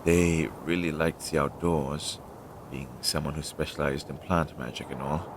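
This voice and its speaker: A man's voice: fairly even intonation, moderate pace. A man playing an anxious, self-doubting magical familiar in an audio roleplay, speaking hesitantly and fretfully to his master. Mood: reluctant, wistful. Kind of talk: scripted audio roleplay, one-sided dialogue. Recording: low-fidelity audio with clear background noise.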